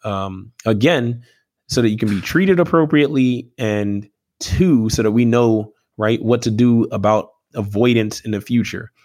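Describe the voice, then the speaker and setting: A man explaining health topics in a measured, teaching way, often checking in with "right?" and filling pauses with "um.". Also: mid-range voice, no room sound. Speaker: medical expert co-host. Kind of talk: explanatory health podcast talk.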